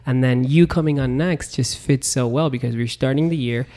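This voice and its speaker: A man's voice: mid-range voice, normal speed, warm tone. A man hosting a podcast, asking friendly, rambling questions. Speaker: podcast host. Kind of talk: casual interview talk.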